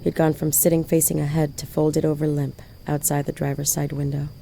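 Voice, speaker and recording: A woman's voice: sits low, flat delivery, fairly quick, gravelly, background noise. An actress playing a shaken woman alone on a night drive, narrating her visions in a wistful, theatrical audio drama style. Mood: stunned.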